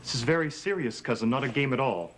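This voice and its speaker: A man's voice: mid-range voice, fast, close to an everyday voice. An actor playing a jealous, bitter young man in a 1960s soap opera, brooding and taunting, heard on an old TV soundtrack with organ music.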